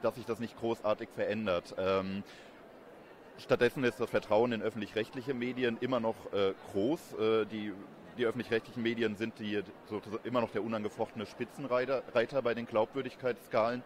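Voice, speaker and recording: Low-voiced academic man in an interview. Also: fairly even intonation, a little hiss or hum. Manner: measured, academic, explanatory. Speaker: interviewed expert, communication professor. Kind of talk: explanatory interview answers. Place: busy event courtyard in daylight. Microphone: handheld reporter microphone held close. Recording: outdoor urban ambience behind voice.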